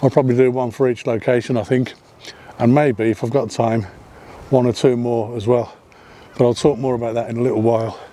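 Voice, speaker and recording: A man's voice: medium pitch, little pitch movement, fairly quick, dark tone. A man narrating a casual photography vlog while walking on a hillside path, chatty, with frequent ums and self-corrections. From not Welsh. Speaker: photography vlogger. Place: outdoors on a hillside path above a seaside town.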